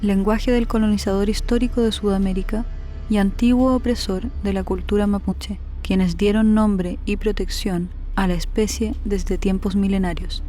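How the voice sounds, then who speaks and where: A woman from Chile narrates her own documentary, with a mellow, warm tone. She speaks quietly and introspectively, asking herself questions, over faint music in the background. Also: mid-range voice, steady tone, moderate pace. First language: Spanish. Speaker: filmmaker narrator.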